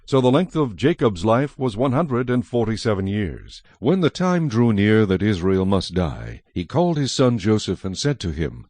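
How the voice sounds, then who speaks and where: A man narrating scripture from a script as a measured, dramatic storyteller. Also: on the low side, sing-song, darkish tone, dry recording.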